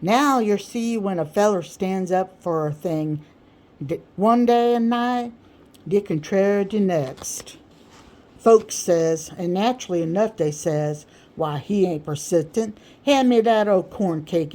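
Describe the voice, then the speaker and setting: A woman's voice: mid-range voice, lively intonation, a little slow, mellow, lightly acted. Woman voicing a pompous, folksy male orator. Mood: pompous, explaining. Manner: grandiloquent, emphatic, folksy dialect speech with mangled big words. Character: pompous, boastful male orator holding forth to admirers.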